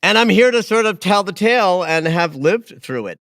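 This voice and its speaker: A man in his late fifties hosts a casual astrology podcast. His voice sits a little high and moves in wide, lively swings, with emphatic peaks and quiet asides. The sound is dry and close. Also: moderate pace, dry recording. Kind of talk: casual conversational chat.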